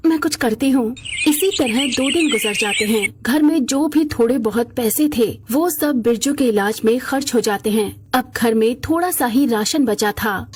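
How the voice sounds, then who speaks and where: A woman's voice: on the high side, noisy background. A woman reading a scripted moral story as its narrator.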